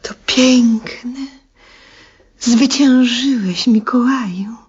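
A tender, admiring woman in a radio play. Her voice is fairly high and breathy, drawn out very slowly in a hush. The vintage recording is dull and muffled. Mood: tender admiration. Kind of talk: 1970s Polish radio drama acting.

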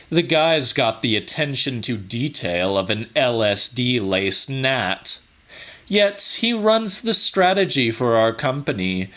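Man acting a bitter, forceful middle-aged self-proclaimed genius. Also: medium pitch. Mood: arrogant, scornful. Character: embittered, arrogant middle-aged office genius, unhinged and scornful.

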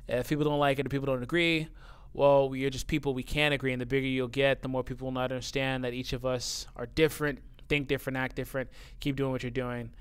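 A man's voice: mid-range voice, smooth. A man who says his voice sounds young, talking casually and bluntly as he reads out viewer comments, swearing often and getting heated. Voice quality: youthful-sounding voice. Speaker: YouTuber reading viewer comments. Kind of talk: casual talk to camera.

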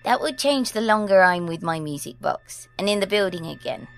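Woman playing a precocious, creaky-voiced little girl. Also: mid-range voice, animated, normal speed, soft background music, natural voice. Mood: prim, composed. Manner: proper, old-fashioned formal phrasing. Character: ancient girl in a nine-year-old's body, prim, precocious. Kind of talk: audio drama acting.

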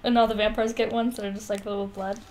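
A woman's voice: mid-range voice, level tone, quick pace, darkish tone. A woman co-hosting a podcast with casual comedic banter, talking in a wry, joking way. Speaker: podcast co-host.

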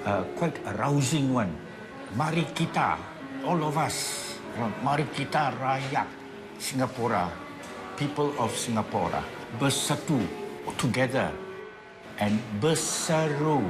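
A man's voice: medium pitch, animated. An older man, a former teacher, speaking earnestly in an interview and breaking into sung phrases. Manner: earnest, slow, sings phrases. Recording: interview with music underneath.

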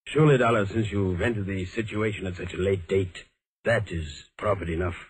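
An actor in an old radio crime drama playing a courtly, coldly menacing fat villain boss with pompous, florid speech. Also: male voice, sits low, a little fast, close to an everyday voice. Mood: oily, threatening. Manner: pompous, florid, throat-clearing. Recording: noisy old radio recording.

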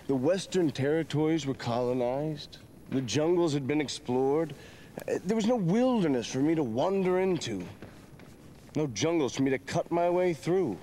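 An actor playing an earnest, reflective young adventurer, a romantic dreamer, in a dramatic video game cutscene. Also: male voice, a little high, dark tone, slight background noise, lightly acted.

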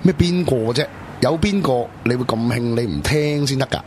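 A man's voice: medium pitch, lively intonation, normal speed, a little mellow, a little hiss or hum, close to an everyday voice. A man with a Hong Kong Cantonese accent, playing a blunt, mocking young man in a radio drama. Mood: scornful. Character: sarcastic young man teasing friends.

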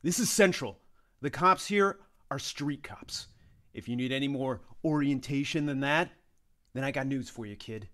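A man playing a hard-boiled veteran eighties street cop, lecturing gruffly and emphatically in an action comedy table read. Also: mid-range voice, normal speed, fairly bright tone, close to an everyday voice. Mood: brusque. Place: indoors on a group video call.

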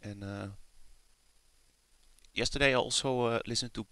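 A man's voice: mid-range voice, steady tone, moderate pace, no room sound. A man giving casual, off-the-cuff commentary on a screen recording, in an amused manner and with casual swearing. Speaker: narrator of a screen demonstration. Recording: hissy with loud radio static. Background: shortwave radio static and signals.

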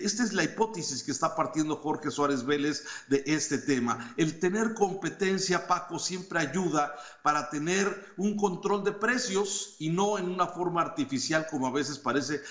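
A man's voice: fairly high pitch, a bit bright, reverberant. Middle-aged man reviewing columns. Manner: measured, reads quotes aloud with small hesitations. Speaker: news commentator reviewing opinion columns. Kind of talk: reading and commenting on newspaper columns. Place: home study with bookshelves. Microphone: headset mic on a video call.

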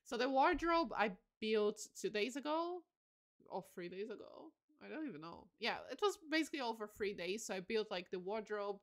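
A woman's voice: medium pitch, expressive, normal speed. A woman in her late twenties, a bit tired, chatting casually and candidly as she livestreams a game, giggly and self-deprecating. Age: late 20s.

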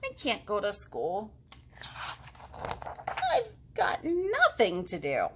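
A woman's voice: medium pitch, wildly swooping pitch, drawn-out words, noisy background, exaggerated voice. A woman voicing a bored, restless young boy frog from a picture book, whiny and exaggerated. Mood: excited.

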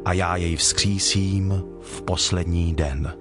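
A solemn male teacher of authority, played by an actor in a scripture drama. His voice sits on the low side and his delivery is measured and declarative, with clear music underneath. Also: fairly even intonation, close to an everyday voice. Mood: solemn, assured. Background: background music.

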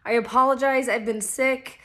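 Woman, 30s, raspy cold-worn voice, slightly high, chatty rambling vlog host, close phone mic at home. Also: steady tone, normal speed, a bit bright, slight background noise. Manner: chatty, rambling with many fillers. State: hoarse from a cold, low energy. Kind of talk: casual chat to camera. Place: living room, indoors.

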